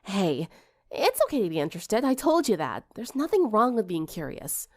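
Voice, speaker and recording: Woman as a confident, teasing teenage girl, lively intonation. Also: medium pitch, normal speed. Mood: reassuring. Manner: casual, wry, encouraging.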